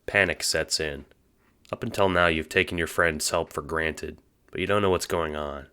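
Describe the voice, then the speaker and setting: Very deep-voiced male story narrator. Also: steady tone, normal speed, sharp tone, clear, resonant tone. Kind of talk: second-person scripted audio drama narration.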